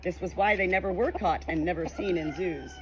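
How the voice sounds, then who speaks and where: A woman reading a children's audiobook aloud in an expressive, informal way. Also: on the low side, a little fast, slight background noise. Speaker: audiobook reader. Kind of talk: children's storybook narration.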